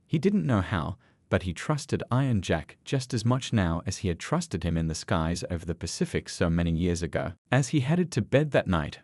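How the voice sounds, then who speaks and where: A man's voice: lowish voice, a little mellow, dry recording. A man narrating a scripted dramatic story.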